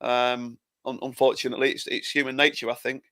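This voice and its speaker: Halting, stop-start English man chatting. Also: medium pitch, flat delivery, bright, forceful tone, no echo. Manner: hesitant, lots of repeated starts. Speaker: podcast co-host. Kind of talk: casual chat.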